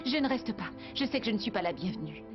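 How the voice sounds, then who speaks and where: A woman's voice: mid-range voice, expressive, quick pace, natural voice. A woman acting a guarded, spirited young woman in a dubbed cartoon, earnest and clipped. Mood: defiant. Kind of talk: dubbed animated series acting. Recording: muffled sound with music underneath.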